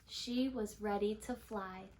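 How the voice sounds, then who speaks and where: A woman reading a children's picture book aloud in an expressive storytelling manner, stretching key words. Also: medium pitch, takes it slowly, a little hiss or hum, poor audio. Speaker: storybook reader.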